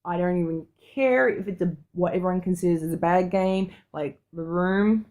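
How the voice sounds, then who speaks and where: A woman's voice: on the low side, moderate pace, a little mellow. A woman co-hosting a podcast, chatting casually in an enthusiastic, blunt and slangy way. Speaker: podcast co-host. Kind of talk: casual chat.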